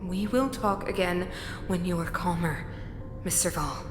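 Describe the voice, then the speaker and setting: A woman's voice: lowish voice, normal speed, background noise, lightly acted. An actress playing a stern, imperious middle-aged female ruler in a video game, formal and commanding. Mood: cold, stern. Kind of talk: video game story voice acting. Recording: echoey reverb with music behind.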